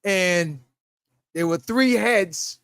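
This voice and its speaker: A man's voice: sits high, very slow, fairly bright tone, clear, resonant tone, no echo, clean studio sound. A middle-aged man with a New York accent, casually telling stories on a podcast and laughing as he goes, acting out the voices he quotes. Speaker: podcast host. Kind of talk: casual storytelling.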